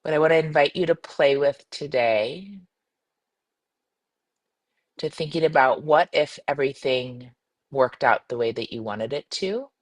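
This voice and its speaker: Female meditation guide, mid-to-low voice for a woman, soothing and unhurried, gentle instructions with spacious pauses. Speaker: meditation guide, yoga teacher.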